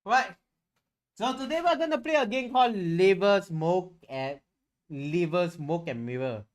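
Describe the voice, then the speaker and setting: A man's voice: high voice, lively intonation, measured pace. A man chatting casually on a livestream, rambling and candid, swearing freely as he goes. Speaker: streamer and VTuber.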